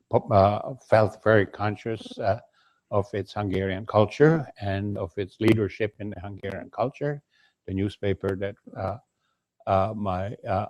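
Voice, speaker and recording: An older man from Yugoslavia recalling his childhood in an interview, speaking slowly with many pauses and fillers. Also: lowish voice, darkish tone, dry recording. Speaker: Holocaust survivor guest. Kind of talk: interview, personal recollection.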